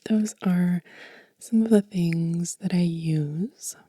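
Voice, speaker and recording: A woman giving a gentle, informal ASMR show and tell in a breathy, soft whisper, unhurried and close to the microphone. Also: lowish voice, no echo. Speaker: ASMR channel host.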